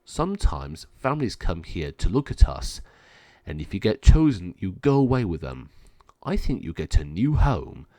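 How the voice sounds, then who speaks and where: A man's voice: deep voice, sing-song, normal speed, mellow, no room sound, voice pushed down. A man voicing a wistful, trusting dog caged in a pound, speaking simply and mournfully in a storybook reading. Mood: resigned.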